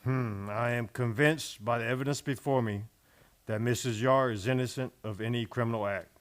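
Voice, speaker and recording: A woman playing a measured, fair frontier judge in an old-time radio western, questioning formally and deliberately. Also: very deep voice, steady tone. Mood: probing, measured.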